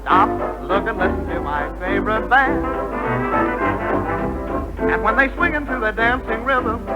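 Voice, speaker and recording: Man, high singing voice, 1920s dance band crooning, loud brass band behind, vintage record. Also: measured pace, over loud music, rough recording.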